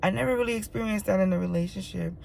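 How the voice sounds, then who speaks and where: Vlogger, woman, raspy and hoarse, gravelly fry, emphatic and repetitive, swears freely, mid pitch. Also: racing, darkish tone, slight background noise. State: hoarse, dry throat from yelling. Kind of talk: candid personal monologue.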